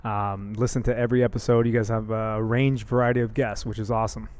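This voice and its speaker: A man who is a strength and conditioning coach, speaking slowly and hesitantly with frequent ums as a podcast guest. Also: fairly low pitch, little pitch movement, a little mellow. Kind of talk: casual podcast guest chat.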